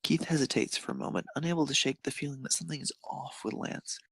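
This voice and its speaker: Husky, creaky male narrator. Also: mid-range voice, steady tone, fast talker, fairly bright tone, no echo. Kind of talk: scripted fan fiction narration.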